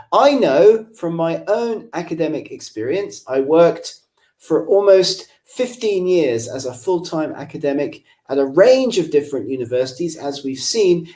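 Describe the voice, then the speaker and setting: A man from England whose English accent carries a faint Irish lilt, talking through a webinar from his home office. His voice is mellow and rather dark, and he addresses listeners directly in a friendly, explanatory manner. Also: fairly high pitch. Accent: English with a slight Irish lilt. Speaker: webinar presenter. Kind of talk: live webinar presentation.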